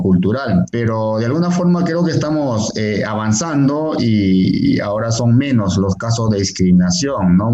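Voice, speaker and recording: A man's voice: fairly low pitch, warm tone. A man, a Quechua teacher and translator, explaining earnestly as an interview guest, with many fillers and restarts. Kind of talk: interview over a call, explaining. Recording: echoey, dull lo-fi call audio.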